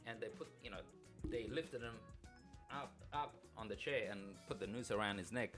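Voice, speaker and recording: A man in his thirties from Afghanistan, a podcast co-host telling his life story in a halting, nervous way with many restarts. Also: on the low side, music in the background. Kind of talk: casual storytelling chat.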